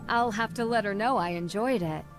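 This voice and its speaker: An actress playing a polite, curious young female detective in a friendly, measured way. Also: mid-range voice, soft background music, close to an everyday voice.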